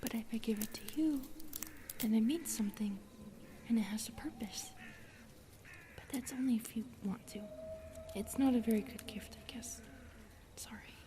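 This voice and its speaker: A woman playing a shy, gentle female forest spirit in an audio roleplay, speaking softly and breathily, often near a whisper, in a hesitant, apologetic way. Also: a little high, a little hiss or hum, close to an everyday voice. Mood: bashful, tender. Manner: hesitant, self-effacing, apologetic, halting. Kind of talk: interactive fantasy audio roleplay addressed to the listener.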